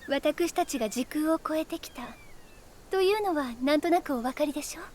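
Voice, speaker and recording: A woman playing a gentle, refined young noblewoman in an anime game, with polite, ladylike phrasing. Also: high-pitched, fairly quick, a little hiss or hum, natural voice. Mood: earnest. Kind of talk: Japanese anime game voice acting. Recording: loud music behind the voice.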